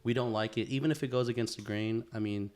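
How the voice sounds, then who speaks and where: A man's voice: mid-range voice, fairly even intonation, fast, a little mellow. A man in his thirties chatting casually as a podcast co-host, informal and sweary, often repeating phrases for emphasis. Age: 30s.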